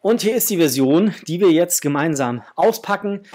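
Slightly husky man, casual unboxing vlogger. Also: fairly high pitch, lively intonation, moderate pace, darkish tone. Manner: enthusiastic, addresses viewers as friends. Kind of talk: casual chat, enthusiastic product unboxing. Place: indoors, small room with framed posters.

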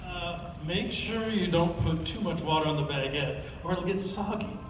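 A man's voice: high-pitched, normal speed, close to an everyday voice. A man voicing a timid, polite baker dad at a cartoon table read, hesitant and bashful. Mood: nervous. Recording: very echoey hall from far off, noisy.

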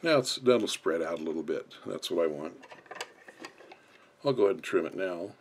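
A man's voice: sits low, lively intonation, moderate pace. A man instructing in an unscripted, step-by-step way as he explains hands-on work. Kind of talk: hands-on tutorial narration.

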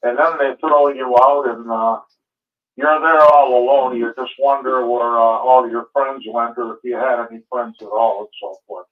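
A man's voice: mid-range voice, fairly even intonation, moderate pace. A man calling in to a talk show, speaking in long runs padded with trailing fillers. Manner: repetitive, trailing fillers ("and so forth"). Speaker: caller. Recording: phone line.

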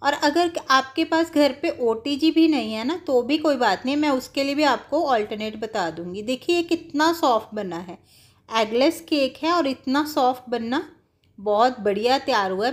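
A woman's voice: sits high, expressive, moderate pace. A woman giving casual, step-by-step cooking instructions, explaining things directly to viewers. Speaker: home cook giving a recipe tutorial.

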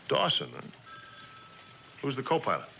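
A commanding general, curt and skeptical, with a medium-pitched voice. The delivery is old-time military suspense drama, on a noisy vintage recording. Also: male voice, wildly swooping pitch, moderate pace, vocal fry, sharp tone, full character voice. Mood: stern, brusque. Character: stern male air force general in command. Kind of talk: mid-century suspense drama acting.

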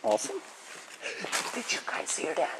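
A young man exploring an abandoned building at night, excitable and swearing, often dropping to a whisper. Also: normal speed. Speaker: paranormal explorer. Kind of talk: casual night exploration chat. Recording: noisy handheld camera audio.

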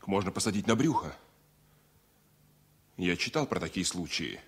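Deep voiced lover on a plane in an old radio play, dramatic, anxious, swings up and down a lot. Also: male voice, expressive, moderate pace, breathy edge, full character voice. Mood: uneasy. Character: man passenger in love, playful then anxious and self-important. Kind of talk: radio play acting, 1970 Soviet radio drama.

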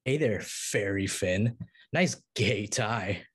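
A man playing an arrogant, popular teenage boy bully with a husky voice in a comedy table read. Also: lowish voice, expressive, takes it slowly, heavily acted. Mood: sneering.

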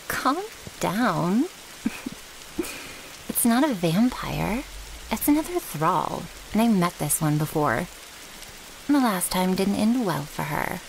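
Brash, loyal female thrall, acted by a woman, cocky and casual, lively higher voice, unhurried, audio roleplay. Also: slight background noise, heavily acted, low-quality recording. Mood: wary. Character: scrappy, brash, loyal young female vampire's servant.

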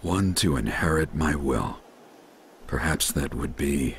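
A man playing an aging, roguish and proud ninja mentor in an English anime dub, with a gravelly voice and grandiose delivery. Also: very deep voice, takes it slowly, slight background noise, full character voice. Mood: jovial. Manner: theatrical, grandiose. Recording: loud music under the voice.

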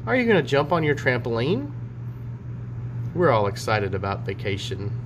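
A man's voice: mid-range voice, huge pitch swings, moderate pace. A man in his forties talking and reading a storybook to young children in simple, child-directed phrasing, like a teacher. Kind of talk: read-aloud storybook and chat for children. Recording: clear background hum and noise.

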